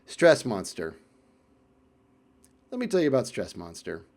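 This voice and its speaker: An American man tells casual anecdotes as a video creator, in an animated way, chuckling and acting out exchanges with friends. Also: mid-range voice, measured pace. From United States. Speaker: video creator telling stories about friends.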